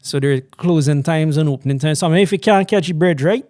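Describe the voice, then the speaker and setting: A man's voice: a little high, quick pace, darkish tone, dry recording, clean studio sound. A man from Guyana with a Guyanese accent, a guest talking earnestly and proudly in a casual podcast chat. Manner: proud, earnest, laughs often. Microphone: studio podcast mic.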